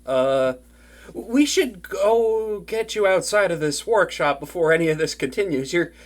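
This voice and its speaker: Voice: medium pitch, animated, unhurried, lightly acted. A performer voicing an earnest, flustered young wizard in an intimate fantasy audio drama, chatty and prone to anxious stammering.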